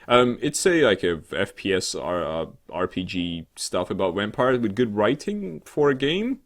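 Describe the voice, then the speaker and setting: A man co-hosting a casual gaming talk show, rambling and halting, with an irreverent, sarcastic, sweary way of talking. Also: lowish voice, big pitch swings. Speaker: co-host of a gaming news show. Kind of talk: casual chat about games news.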